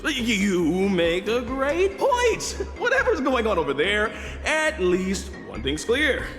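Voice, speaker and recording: Showy, wildly swooping game-show bird host. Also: male voice, sits high, huge pitch swings, heavily acted. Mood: glib. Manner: theatrical, drawn-out announcing with chuckles. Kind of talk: game voice acting, show hosting. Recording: long reverb, faint music behind.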